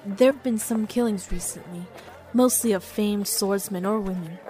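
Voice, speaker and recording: A woman's voice: medium pitch, normal speed, dark tone, soft background music, close to an everyday voice. A woman voicing a cheerful female companion who explains things in a teasing, upbeat way.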